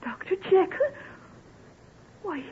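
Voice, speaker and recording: An actress with a northern English accent playing a timid, devoted young country lass, emotional and hesitant, in radio drama. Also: female voice, extremely high pitch, big pitch swings, slow pace, exaggerated voice. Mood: overjoyed, amazed. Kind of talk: old-time radio acting. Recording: noisy, narrow-band old radio recording.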